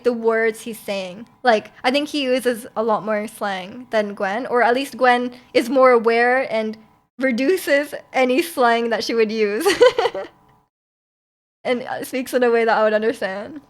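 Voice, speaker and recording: A young woman streamer chatting casually with her viewers, laughing often and restarting her sentences. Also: on the high side. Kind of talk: casual chat reading viewer comments.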